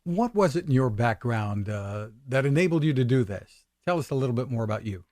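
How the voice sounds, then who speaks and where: Mid-pitched male host, dry sound. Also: animated, fairly quick, darkish tone, dry recording. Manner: plainspoken, encouraging questions. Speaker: interviewer, host. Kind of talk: interview questions.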